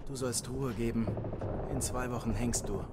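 A man's voice: medium pitch, fairly even intonation, normal speed, heavy background noise, lightly acted. A man voicing a chatty, wry male fellow prisoner who talks in an amused, easygoing way. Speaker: fellow captive. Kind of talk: German game dub.

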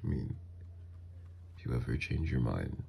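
A man playing a doting, easily flustered mafia boss lover, gruff yet flirtatious, in an intimate ASMR roleplay. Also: very deep voice, animated, a little slow, dark tone, exaggerated voice. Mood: tender.

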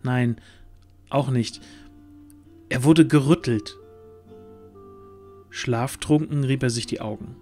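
Male narrator for fantasy audio drama: medium pitch, scripted storytelling, light music bed. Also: slow pace, long pauses, dark tone.

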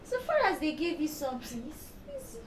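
A woman's voice: high-pitched, very animated, fairly quick, recorded from a distance. An actress with a Nigerian accent playing a hard-nosed, money-minded mother who scolds and coaxes in a screen drama. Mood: scolding, coaxing. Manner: exclamatory, scolding and coaxing. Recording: very noisy, echoey room sound.

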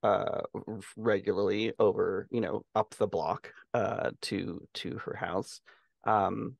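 Low, gravelly man thinking aloud. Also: steady tone, slow pace, no room sound. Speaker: podcast co-host. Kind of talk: casual chat. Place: indoor room on a video call.

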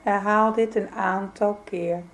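Slow-paced woman guiding a meditation. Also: medium pitch, steady tone. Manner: soothing, gentle, unhurried with pauses. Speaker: yoga nidra meditation guide. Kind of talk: guided meditation, calm spoken instruction.

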